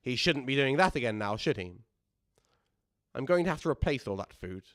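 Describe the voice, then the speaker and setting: An old widower confiding his tale in a radio play monologue. His voice sits at an average male pitch in a very dry recording. He rambles with fussy, pedantic corrections, aggrieved and coldly sure that his petty cruelty is justified. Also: sing-song, brisk, a bit bright, no echo. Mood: pedantic, callous.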